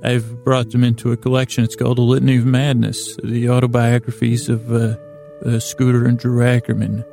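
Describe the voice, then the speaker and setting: A man hosting a bedtime podcast in a rambling, tangential monologue, with a creaky, gravelly voice and a halting, self-deprecating manner that often stammers and restarts words. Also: medium pitch, completely flat delivery, dark tone, faint music behind. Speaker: host of a bedtime sleep podcast.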